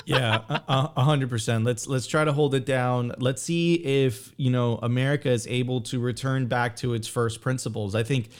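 A man's voice: medium pitch, fairly even intonation, normal speed, few pauses. A man hosting a podcast, eager and emphatic, who repeats phrases to urge viewers on. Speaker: podcast host. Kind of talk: casual podcast chat.

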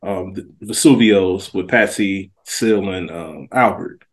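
A man's voice: low-pitched, a little slow. Slangy man in casual banter. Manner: slangy, blunt. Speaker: podcast co-host.

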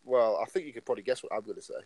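A man's voice: medium pitch, lively intonation, very fast, a little mellow, rough recording. A nineteen-year-old man co-hosting a casual podcast, rambling along and filling his talk with "like" and "obviously". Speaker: podcast co-host.

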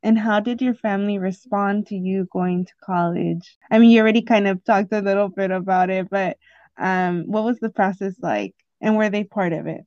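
A woman's voice: medium pitch, steady tone, normal speed, no room sound. Young woman podcast host, interviewing casually and encouragingly, dry muffled audio. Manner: warm, encouraging, asks questions.

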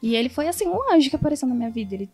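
A woman's voice: high-pitched, big pitch swings, rapid-fire, a little mellow, slightly rough, soft background music. A Brazilian woman who produces comedy shows, talking casually and a little shyly as a podcast guest.

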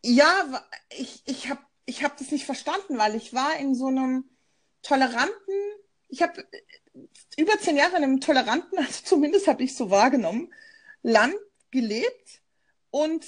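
A German woman co-hosts a casual podcast over a remote call, speaking in a slightly high voice. She talks in a thoughtful, personal way and often pauses on fillers. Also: expressive. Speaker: podcast co-host, journalist.

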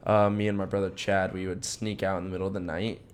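A man's voice: sits low, level tone, fast, smooth. A young American man co-hosting a podcast, chatting and telling personal stories casually with lots of slang and filler "like". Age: young adult. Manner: slangy, peppered with "like", "dude" and "bro". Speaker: podcast co-host. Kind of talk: casual chat and personal storytelling.

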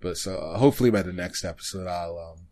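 A man hosting a podcast, chatting casually about shows in a halting, hesitant way. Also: sits low, darkish tone. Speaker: podcast host.

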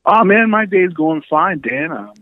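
A man's voice: sits high, expressive, unhurried, dry recording. Man on a tinny phone line. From New York City. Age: 30s. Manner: chatty, laughs while telling stories. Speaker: podcast guest. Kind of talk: casual phone interview.

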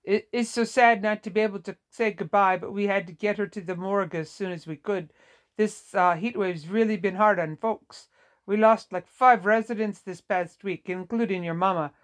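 Performer voicing a higher-pitched, sorrowful Vietnamese woman nurse. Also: steady tone, moderate pace, lightly acted. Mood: regretful, strained. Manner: folksy, apologetic, emphatic. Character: short Vietnamese woman nurse, sorrowful, weary, plain-spoken. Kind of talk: audiobook character dialogue.

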